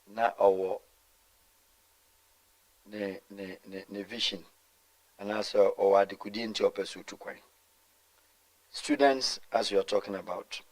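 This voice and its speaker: A man's voice: fairly low pitch, rough recording. A middle-aged Ghanaian man answering in an interview, talking emphatically and mixing in Twi, with stumbles and repeated words. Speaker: guest.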